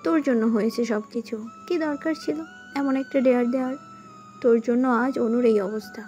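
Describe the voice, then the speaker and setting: A woman plays a young female student, high-voiced and anxious. She speaks urgently and in a scolding way, as in an audio drama. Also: steady tone, normal speed, warm tone, faint music behind, close to an everyday voice. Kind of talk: audio story acting.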